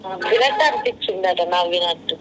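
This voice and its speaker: A woman's voice: fairly low pitch, brisk. A woman with a Telangana Telugu accent, chatting casually on a phone call. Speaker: wife taking a phone call. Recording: phone call with background noise.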